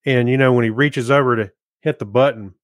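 A man's voice: medium pitch, steady tone, a little fast, no room sound, studio quality. A man co-hosting a podcast, chatting casually and telling things in a loose, conversational way. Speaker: podcast co-host. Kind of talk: casual chat.

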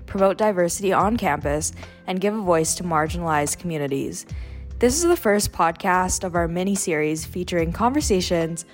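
A young woman from Vancouver with a Canadian accent, hosting a student podcast in a conversational way. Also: lowish voice. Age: young adult.

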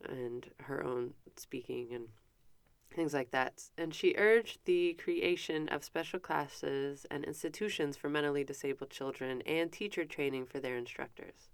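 A woman's voice: low-pitched, measured pace, gravelly, clear, resonant tone, no echo. A woman giving an informal history lesson, chatty and self-correcting, with frequent fillers and asides. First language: not French. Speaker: presenter explaining an educator's life. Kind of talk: casual informal lecture.

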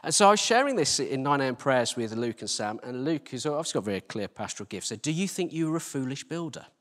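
A man's voice: medium pitch, big pitch swings, fast. A middle-aged man preaching through personal anecdote, in a conversational, self-deprecating way, recorded live in a church hall. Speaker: church team leader preaching. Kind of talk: anecdotal sermon. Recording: reverberant hall.

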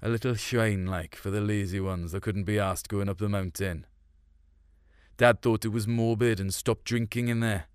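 Low-voiced man telling a story. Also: steady tone, normal speed, clear, resonant tone, dry recording, clean studio sound. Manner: colloquial, melancholic delivery. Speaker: short story narrator. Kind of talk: first-person dramatic storytelling.

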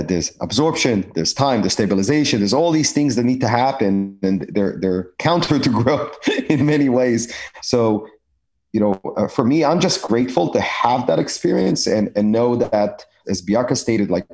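A man's voice: mid-range voice, animated, moderate pace, a little mellow. A man, a company cofounder, speaking earnestly and reflectively in a panel conversation, with many fillers.

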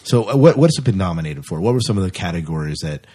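A man's voice: low voice, lively intonation, fast, warm tone, slightly rough. A man with an American accent co-hosting a podcast in a casual, chatty style. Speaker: podcast co-host. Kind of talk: casual sci-fi news chat.

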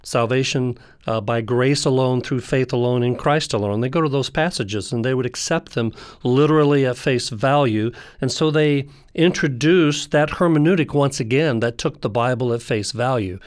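A man speaking as a guest scholar, explaining theology conversationally in measured phrases with pauses. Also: mid-range voice, no room sound, clean studio sound.

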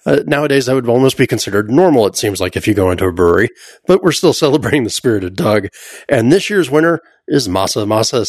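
A man with a mid-pitched voice hosts a casual podcast interview. He talks in a chatty, conversational way, and the sound is dry with very little room. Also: no echo. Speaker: podcast host.

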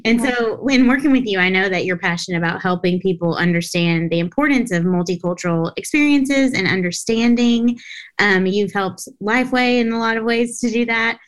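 A woman's voice: mid-range voice, moderate pace. A woman co-hosting an interview over a video call, encouraging as she introduces topics. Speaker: co-host.

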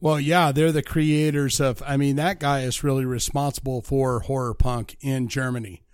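A man's voice: medium pitch, fairly even intonation, moderate pace, a little mellow, clean tone, no room sound, studio quality. A man co-hosting a podcast in casual banter, joking and playfully contrary, often in short replies. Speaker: podcast co-host.

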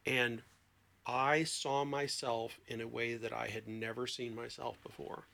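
A man with an average-pitched voice that often drops into creak. He speaks as a therapist on a podcast, explaining through anecdotes and acting out bits of dialogue. His delivery is expressive and emphatic. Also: measured pace. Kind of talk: conversational explanation with anecdotes and acted-out quotes.

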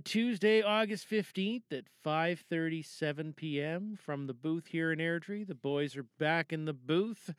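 A man's voice: mid-range voice, pushed and bright, smooth, dry recording. A man hosting a podcast in a rambling, casual, self-deprecating and scattered way, recorded in a booth. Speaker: podcast host.

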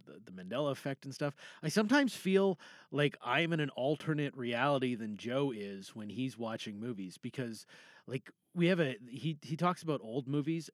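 A man's voice: medium pitch, no room sound, studio quality. A man chatting casually as a podcast host, rambling informally and often trailing off or rephrasing mid-sentence. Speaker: podcast host giving feedback to fellow podcasters. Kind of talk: casual, rambling solo chat with opinions on films.

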